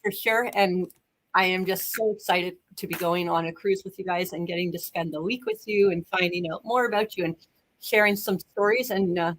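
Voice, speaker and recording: A woman with a Canadian accent hosting a cruise vlog live stream, bubbly and quick to laugh. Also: fairly low pitch, fairly even intonation, a bit bright, rough recording. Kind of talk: casual live-stream chat.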